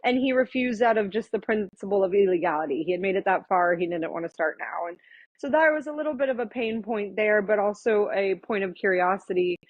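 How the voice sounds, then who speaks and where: A woman in her thirties talks through her story as a podcast guest, thoughtful and unhurried, trailing into fillers and restarts. Her voice sits at a middling pitch. The sound is dry, with no room around it, and a little muffled. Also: no echo. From born in Thailand, raised in Florida and India. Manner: reflective, with frequent fillers and restarts. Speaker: guest, attorney. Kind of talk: conversational podcast interview.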